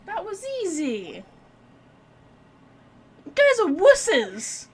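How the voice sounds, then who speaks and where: A woman's voice: very high-pitched, wildly swooping pitch, very slow. A woman doing casual let's-play commentary as a gaming streamer, blunt, sweary and joking.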